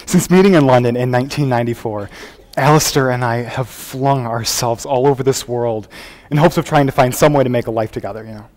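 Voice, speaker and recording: A man's voice: medium pitch, a little mellow, close to an everyday voice. Young man acting earnest performance artist, echoey hall. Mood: wry storytelling. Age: young adult. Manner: theatrical, conversational storytelling with dramatic pauses. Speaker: high school competitive speech performer. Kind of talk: dramatic interpretation monologue on stage. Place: large hall before an audience. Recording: echoey hall, live contest recording.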